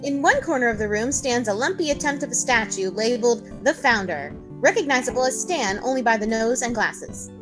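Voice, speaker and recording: A woman's voice: fairly high pitch, expressive, a little fast, hardly any pauses, over music. A woman co-hosting a livestreamed game read-along, chatting and reading out the narration. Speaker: co-host reading narration and player lines.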